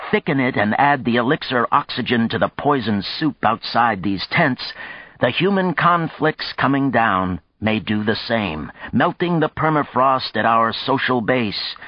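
A man's voice: medium pitch, pitched above the performer's own voice. Man acting impassioned colonist orator, raised voice. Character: exhilarated male space colonist giving a rousing speech. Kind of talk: audiobook character dialogue. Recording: dull, slightly muffled, roomy sound.

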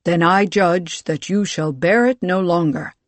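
A narrator voicing a towering, commanding military ruler in an audiobook, with a loud, booming delivery and formal, measured speech. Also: dry recording, close to an everyday voice. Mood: stern, imperious. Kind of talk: audiobook character dialogue.

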